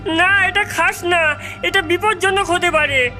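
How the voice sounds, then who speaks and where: A woman's voice: very high voice, takes it slowly, bright, forceful tone, soft background music, full character voice. A woman voicing a cautious, suspicious young cartoon boy with a wary, pleading manner. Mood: alarmed warning. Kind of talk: cartoon voice acting.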